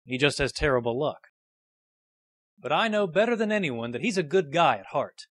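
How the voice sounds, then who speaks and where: A man's voice: on the high side, sing-song, normal speed, no echo. A man acting a nervous, earnest young rookie defense lawyer in a game fandub, a little hesitant. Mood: wry, earnest.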